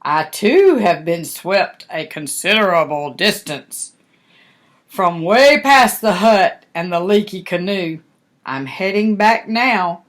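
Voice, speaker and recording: A woman's voice: fairly low pitch, lively intonation, takes it slowly. Older woman putting on a firm, kindly storybook crocodile. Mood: firm, consoling.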